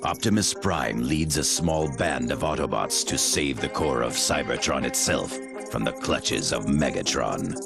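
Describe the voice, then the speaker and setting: A grave, epic game narrator with an extremely deep voice. It crackles with gravel as the scripted narration unfolds over loud music. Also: male voice, lively intonation, a little slow, full character voice. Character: grave epic storyteller narrating a robot war.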